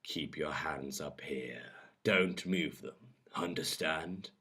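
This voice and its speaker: Casting: seductive, commanding male lover for an erotic audiobook, played by a man; low, husky, hushed, deliberate delivery. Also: lively intonation, recorded from a distance, heavily acted. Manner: hushed, breathy, slow and deliberate.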